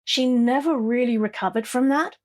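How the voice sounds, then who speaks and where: A middle-aged English woman with a fairly high voice tells her story as a podcast guest. She talks in an animated way, often tagging her sentences with a questioning "yeah?". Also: normal speed. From southeast England. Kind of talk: conversational storytelling interview.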